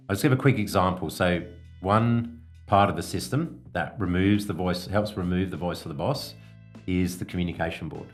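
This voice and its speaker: Male podcast coach, low-pitched, instructive and measured delivery, explanatory style, faint music underneath. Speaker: podcast host, business coach.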